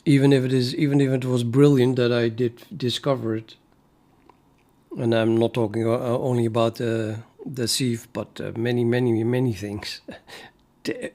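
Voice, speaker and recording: A man's voice: mid-range voice, a little mellow. A man from the Netherlands with a Dutch accent, a native Dutch speaker, chatting casually on a coding stream and thinking aloud with many fillers and restarts. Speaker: programmer streaming his coding. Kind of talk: casual live-stream chat.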